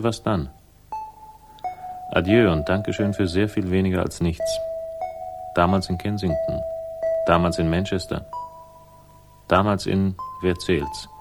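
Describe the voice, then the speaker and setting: Low-voiced, curt, weary private eye. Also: male voice, measured pace, long pauses, over music. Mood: bitter brooding. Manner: laconic, dry, brooding. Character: weary, curt, hard-boiled male private investigator. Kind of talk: 1960s German radio crime drama acting. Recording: 1960s radio production.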